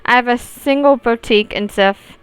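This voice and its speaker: Female let's player narrating a story game, exceptionally clear tone, very little room sound. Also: fairly high pitch, normal speed, no echo. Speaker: gaming video host reading aloud. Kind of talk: visual novel let's play, reading narration and dialogue aloud.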